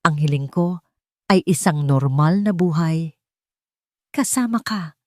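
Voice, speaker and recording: A woman's voice: low-pitched, expressive, slow. A woman voicing an earnest, pleading young man in love in a romantic audio story. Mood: tender pleading.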